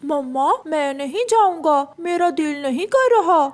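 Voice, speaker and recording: A childlike cartoon crow boy voiced by a woman, very high in pitch and slow in delivery. He comes across as a plaintive, lazy little son. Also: lively intonation, darkish tone. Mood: sulky.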